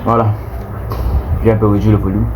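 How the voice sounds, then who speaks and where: A man's voice: lowish voice, little pitch movement, fairly quick. Earnest young man in a noisy room. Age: 20s. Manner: earnest, emphatic, repeats words while searching for them. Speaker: vlogger. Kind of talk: casual talk-to-camera monologue. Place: at home indoors with a TV playing. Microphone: built-in device mic, no separate mic. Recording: noisy home recording with TV sound behind.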